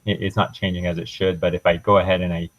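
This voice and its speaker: A young man giving an informal technical presentation as a student, walking through a software demo in a hesitant, halting way. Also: deep voice, level tone, no echo, lo-fi sound.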